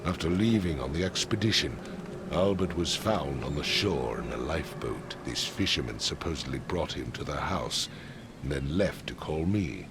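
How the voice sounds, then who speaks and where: An actor playing a haughty, impatient middle-aged patrician father in a video game, speaking formally and curtly in a gravelly voice. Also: very deep voice, lively intonation, normal speed, over music. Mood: indignant, impatient. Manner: imperious, formal, curt. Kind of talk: dramatic video game voice acting.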